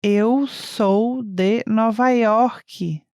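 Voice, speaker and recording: A woman speaks very slowly with a mellow, dark tone. She pronounces each word carefully and deliberately, repeating it for learners. The recording is close and dry. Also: a little high, smooth, no room sound. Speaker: native-speaker example voice. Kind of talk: slow model pronunciation for learners.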